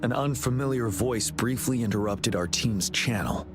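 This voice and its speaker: An actor playing a calm, commanding male leader in a game cutscene, speaking in a composed, measured way. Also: medium pitch, lightly acted. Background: game music.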